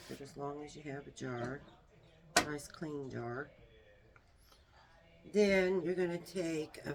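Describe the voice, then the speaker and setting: Soft little voice, woman chopping herbs and talking real slow, noisy home recording. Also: low-pitched. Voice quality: small soft voice. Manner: soft-spoken, homey and unhurried, talks while working. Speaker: herbal remedy vlogger. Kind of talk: casual how-to demonstration.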